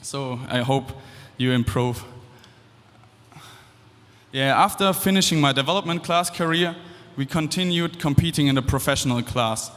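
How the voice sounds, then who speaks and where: A man's voice: medium pitch, normal speed, fairly bright tone. German-accented young man, echoing hall. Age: 20s. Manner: casual, upbeat, with fillers and restarts. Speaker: guest speaker. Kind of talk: stage talk to students. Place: large hall. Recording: long hall reverb.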